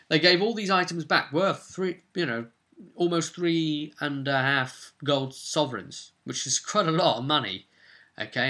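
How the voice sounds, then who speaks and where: A man casually recapping his tabletop game as game master, rambling and breaking off into animated asides to viewers. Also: medium pitch. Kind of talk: casual video recap, storytelling.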